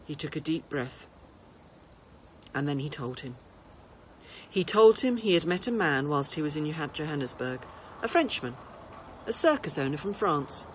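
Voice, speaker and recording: A woman reading a children's storybook aloud in an expressive narrating style. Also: deep voice, moderate pace, long pauses, slight background noise. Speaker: teacher reading to pupils.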